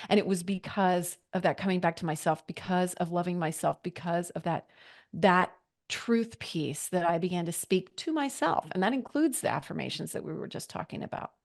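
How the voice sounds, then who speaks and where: A woman's voice: fairly low pitch, normal speed. A woman in her forties, a podcast guest, telling her personal story in an earnest, reflective way and acting out her own past thoughts. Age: 40s. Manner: earnest, reflective, with lively quoted inner thoughts.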